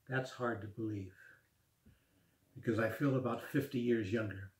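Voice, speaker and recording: A man in his sixties reading his own rhymed poem aloud at home in a slow, rhythmic recitation. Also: on the low side, fairly even intonation. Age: 60s. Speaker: poet. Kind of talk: spoken word poetry reading. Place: small room at home, indoors. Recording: echoey room.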